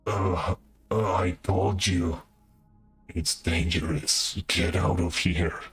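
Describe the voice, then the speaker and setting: A tormented ghostly soldier from a video game, very low and extremely slow. The warnings come haltingly and gravely, with music underneath. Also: male voice, fairly even intonation, fairly bright tone, heavily acted.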